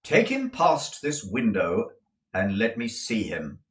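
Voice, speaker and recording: A man voicing a stern, blustering middle-aged male lawyer who talks in a booming, domineering way. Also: wildly swooping pitch, slow, a bit bright, exaggerated voice. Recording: roomy audio from a distant mic.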